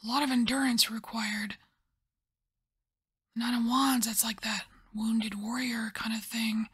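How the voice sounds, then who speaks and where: A woman softly whispering and musing as she lays out and reads tarot cards in an ASMR style. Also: on the high side, flat delivery, breathy edge. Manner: soft whispering, musing and unhurried. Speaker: tarot reader.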